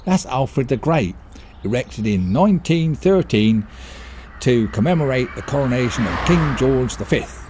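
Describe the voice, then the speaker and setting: A man playing a droll, pedantic village local in an amateur audio play, with a mellow, deadpan delivery. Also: medium pitch, measured pace, a little hiss or hum, lightly acted.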